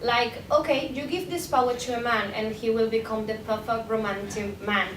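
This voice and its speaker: A woman's voice: steady tone, normal speed. A young woman academic, answering questions at a conference in an informal way, explaining with examples. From Spain. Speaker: academic presenter, PhD candidate. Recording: roomy, distant mic, noisy rumbling background.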